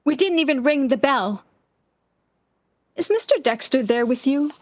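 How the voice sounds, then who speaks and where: A distraught, lovesick woman from a 1940s-style radio play, performed by an actress. Her voice is on the high side and recorded close and dry. She speaks slowly and haltingly, with obsessive, theatrical intensity. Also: lively intonation, dry recording. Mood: anxious.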